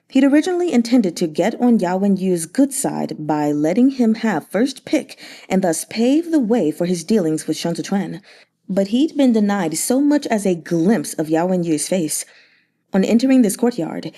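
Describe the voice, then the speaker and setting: A woman reading a novel aloud for a read-along, in a steady, measured voice. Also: mid-range voice. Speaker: audiobook reader.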